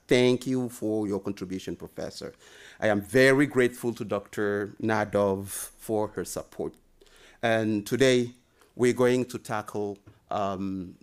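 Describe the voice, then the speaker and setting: Man giving a low-voiced lecture. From Mali. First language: French. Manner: earnest, many "and then" links, rises in emphasis. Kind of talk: academic lecture. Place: lecture room, indoors. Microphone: desk microphone.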